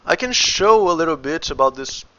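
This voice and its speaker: A man's voice: fairly high pitch, animated, moderate pace, fairly bright tone, lo-fi sound, a little hiss or hum. A Brazilian man with a Brazilian Portuguese accent, a game developer casually talking through his work on a livestream and muttering each step to himself. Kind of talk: casual livestream, thinking aloud while working.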